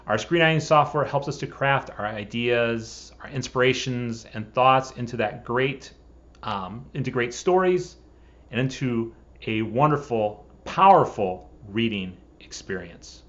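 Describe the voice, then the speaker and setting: A man's voice: medium pitch, animated, a little slow, a bit bright. A man hosting a scripted explainer video, speaking directly to viewers and posing rhetorical questions. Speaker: host of a screenwriting software channel. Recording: dull, lo-fi sound.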